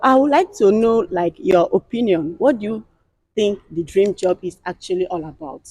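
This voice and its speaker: A woman from Nigeria hosts a relaxed panel chat, searching for her words and filling the gaps with hesitant ums. Her voice sits in a middle register, and the recording is close and dry with no room sound. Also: medium pitch, moderate pace, vocal fry, husky, no echo. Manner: hesitant, with many fillers. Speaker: host. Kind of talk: casual panel discussion.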